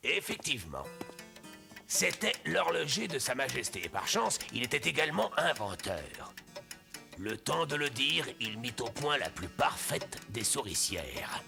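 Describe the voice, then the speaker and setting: A whimsical cartoon godfather, telling a tale with relish. His creaky voice ranges very widely over music. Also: medium pitch, measured pace. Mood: storytelling. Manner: expressive, savouring storyteller. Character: kindly godfather storyteller, whimsical older man. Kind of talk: storytelling in an animated film.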